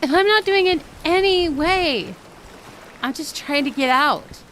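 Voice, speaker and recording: A woman's voice: high-pitched, animated, takes it slowly, a bit bright, slight background noise, full character voice. A woman voicing a shy, grumpy young mermaid in a romantic roleplay audio, protesting indignantly and stammering in denial. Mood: embarrassed denial.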